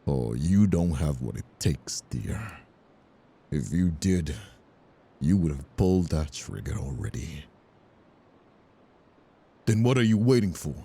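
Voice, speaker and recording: An actor playing a menacing, taunting crime boss villain with a gravelly, husky voice, in a dramatic audio role-play. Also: male voice, very deep voice, animated, takes it slowly, pauses often, warm tone, exaggerated voice. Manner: taunting, theatrical. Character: menacing, sneering crime boss villain, cowardly when cornered.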